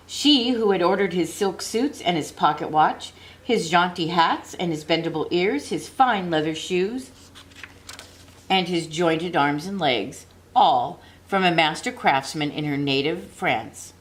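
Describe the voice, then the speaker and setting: A woman with a slightly low voice reads a children's storybook aloud. Her narration is expressive and deliberate, with measured phrases and dramatic emphasis. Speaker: audiobook reader.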